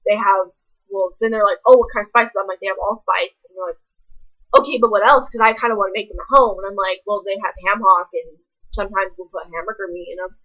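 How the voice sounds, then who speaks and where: A woman's voice: medium pitch, fairly even intonation, fast talker, fairly bright tone, highs cut off. A young woman, ranting casually to camera in a blunt, rambling way full of swearing. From American South. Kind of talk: casual vlog rant.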